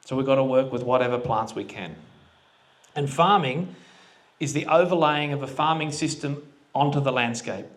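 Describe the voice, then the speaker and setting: A man's voice: mid-range voice, moderate pace. A man giving a casual talk at a farming forum, plain-spoken and quizzing the audience with questions. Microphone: handheld microphone that cut out.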